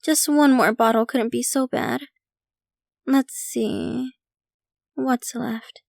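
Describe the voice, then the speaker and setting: Actress as possessive vampire girlfriend for ASMR roleplay: slow, high female voice, theatrical and devoted. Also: vocal fry, dry recording, clean studio sound. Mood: playful, indulgent. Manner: theatrical, doting, gushing. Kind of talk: ASMR roleplay, scripted intimate monologue to listener.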